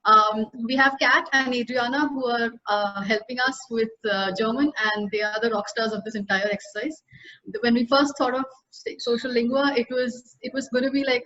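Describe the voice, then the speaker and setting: Enthusiastic woman opening an online session. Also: on the high side. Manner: warm, enthusiastic, uses many fillers. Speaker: webinar organizer. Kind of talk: welcoming opening remarks. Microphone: video call. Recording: dull lo-fi video call audio.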